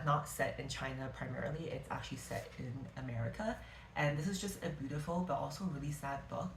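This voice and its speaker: A woman's voice: a bass voice, slight background noise. A woman reviewing her favourite books of the year in a casual, gushing, rambling way, often correcting herself mid-sentence. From Canada. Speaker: book vlogger. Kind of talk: casual book review to camera. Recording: echoey room.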